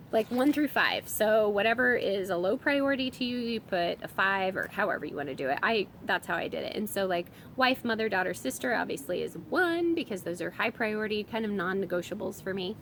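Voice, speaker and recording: A woman's voice: medium pitch, animated, normal speed, fairly bright tone, slight background noise. A woman in her thirties with a summer cold, chatting casually on her vlog as a homeschooling mom. She rambles a little, with lots of fillers and restarts. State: has a summer cold, voice a bit strained.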